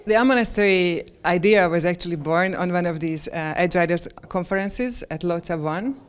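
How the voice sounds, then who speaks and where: A woman's voice: lowish voice, normal speed, smooth. A woman in her forties from Hungary, answering interview questions with many ums and restarts, recorded on a handheld mic indoors. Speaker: interviewee, project member. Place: stone-walled room, indoors.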